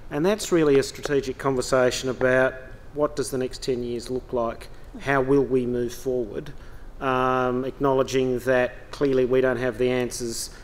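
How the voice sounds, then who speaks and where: Reflective male panelist, measured. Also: medium pitch, flat delivery. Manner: reflective, measured, thinking aloud. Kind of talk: conference panel remarks.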